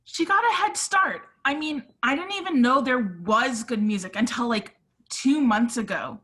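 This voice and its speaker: A woman's voice: high-pitched, animated, normal speed, pushed and bright, slightly rough, exaggerated voice. A woman playing a jealous, lovesick teenage girl in a screenplay table read, ranting in petulant teen slang.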